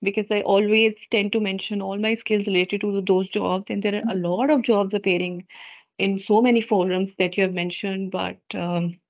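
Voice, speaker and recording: Brief: woman, mid pitch, hesitant questioner, dry and dull video-call audio. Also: fairly even intonation, moderate pace, dry recording. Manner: hesitant, many fillers and restarts. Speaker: student asking a question. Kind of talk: question in online seminar.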